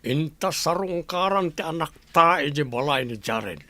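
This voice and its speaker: A man with an Ilocano accent playing a hot-headed, protective middle-aged father in a radio drama, forceful and insistent. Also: a little high, expressive, normal speed, no room sound. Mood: indignant, determined.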